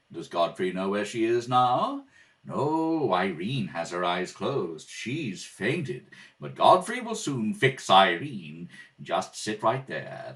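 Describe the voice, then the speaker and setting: A man's voice: lowish voice, fairly bright tone, pitched below the performer's own voice, heavily acted. A man playing a polite, evasive butler in a formal, hesitant radio-comedy style. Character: polite, evasive young butler Godfrey, turning briskly bossy. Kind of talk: 1930s radio comedy acting. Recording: distant home kitchen-table recording.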